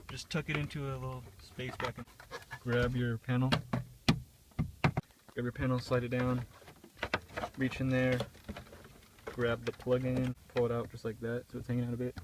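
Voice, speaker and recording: Flat-toned man giving casual how-to, noisy recording. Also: medium pitch. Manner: informal, offhand. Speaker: do-it-yourself video presenter. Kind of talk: casual hands-on how-to instruction. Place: in and around a car. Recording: clearly noisy car, handling clicks.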